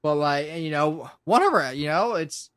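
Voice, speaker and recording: A man's voice: a little high, expressive, moderate pace, fairly bright tone, smooth, no room sound, studio quality. A man in his late twenties with an American accent chats casually on a podcast, rambling, swearing and often interrupting himself. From Pennsylvania, US. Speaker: podcast guest, show creator and musician.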